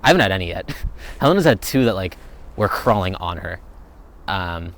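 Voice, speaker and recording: A man's voice: deep voice, big pitch swings, moderate pace, fairly bright tone. A young man answering viewer questions in a casual, rambling chat, thinking aloud as he goes. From United States. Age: young adult.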